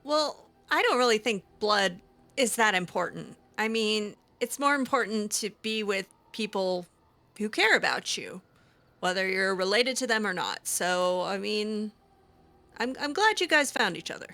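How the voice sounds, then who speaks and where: A woman's voice: medium pitch, animated, a little slow, sharp tone. A young woman roleplaying her character aloud in a fantasy game, talking casually and hesitantly, with many fillers. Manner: hesitant, lots of fillers, laughs easily. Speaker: let's-play roleplayer.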